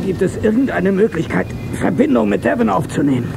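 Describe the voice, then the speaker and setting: An actor playing a stubborn, wounded crime fighter in a radio play, defiant, strained and sardonic. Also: male voice, high-pitched, animated, a little fast, creaky, exaggerated voice. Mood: urgent. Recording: cassette radio play with music.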